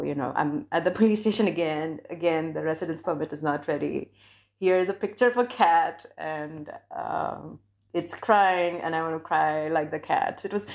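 Low, gravelly-voiced woman recounting an ordeal. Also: darkish tone. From India. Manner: reflective, measured, with restarts. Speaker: interviewee, doctoral scholar. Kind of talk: recounting a personal experience.

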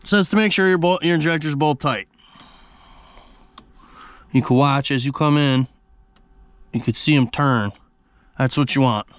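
A man's voice: fairly high pitch, unhurried, pauses often, clean tone, no echo. A man casually walking viewers through an engine repair step by step, as a mechanic would. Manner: relaxed, talks the viewer through each step. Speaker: mechanic restoring an old diesel engine.

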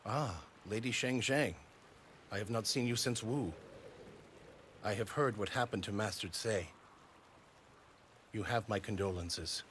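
A man playing a humble, idealistic warlord in a video game, speaking formally and with measured solemnity. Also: lowish voice, fairly bright tone, a little hiss or hum. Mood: courteous. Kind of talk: dubbed video game cutscene acting. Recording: light game music behind.

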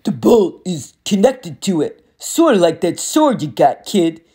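A boastful male god of war with a taunting, cocky way of talking, as a woman reader puts him on. He sounds loud and pleased with himself, his pitch leaping around a lot. It is an audiobook character voice. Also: very animated, unhurried, breathy edge, full character voice. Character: smug, menacing adult male god of war.

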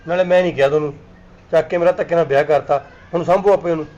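A stubborn grown son snaps back at his mother in quick, hurried bursts. A man acts him out. His slightly raised voice sounds dull and muffled. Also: a little high, steady tone, darkish tone, close to an everyday voice. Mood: defensive.

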